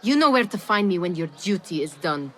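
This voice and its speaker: A woman's voice: lowish voice, sing-song, brisk, a little hiss or hum. A woman playing a probing, sardonic friend of an assassin in a video game, pointed and challenging. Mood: sardonic challenge. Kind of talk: dramatic video game acting.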